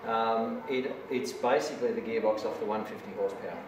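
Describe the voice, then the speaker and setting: A middle-aged mechanic explaining an outboard engine on camera, hesitant with many ums and technical detail. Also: male voice, on the low side, a little fast. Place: indoor workshop beside a boat. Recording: echoey workshop, distant mic, noisy.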